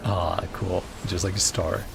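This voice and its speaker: A woman's voice: rumbling low, flat delivery, unhurried, gravelly, background noise. A woman giving casual reaction commentary over a video game, musing aloud and trailing off mid-thought, speaking into a close mic. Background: game music.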